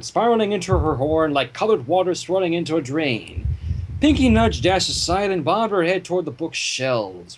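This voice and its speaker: Guy reading a story out loud, kinda husky, voice goes up and down a lot. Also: high voice, poor audio. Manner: expressive, reacts with exclamations to the story. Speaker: fan fiction reader. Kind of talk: dramatic story reading with asides.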